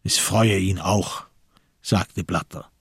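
Gravelly low-voiced male narrator. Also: fairly even intonation, slow, dry recording, studio quality. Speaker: narrator of a crime radio play. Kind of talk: scripted literary narration with reported speech.

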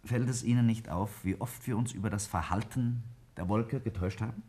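A man's voice: on the low side, brisk, dark tone, slightly rough. A man acting a self-assured scientist in a 1950s German radio play, arguing didactically and emphatically with slight irony. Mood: explaining, insistent. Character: Kingsley, self-assured male scientist arguing a bold theory.

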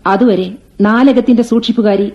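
A woman's voice: fairly high pitch, fairly even intonation, moderate pace, dark tone, slight background noise, lightly acted. A woman playing a lonely, resolute woman who guards the family house and mothers a young man, in a radio play. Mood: firm. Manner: firm, protective, motherly. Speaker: radio drama actor. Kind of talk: 1980s Indian radio play acting. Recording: dull old radio recording with faint music.

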